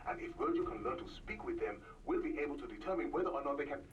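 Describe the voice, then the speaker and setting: Optimistic middle-aged father and scientist, played by a man: quick, earnest, heard from afar through noise. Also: on the high side, lively intonation, dull audio, close to an everyday voice. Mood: excited, earnest. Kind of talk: dramatic game audio drama acting. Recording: distant, noisy kiosk link.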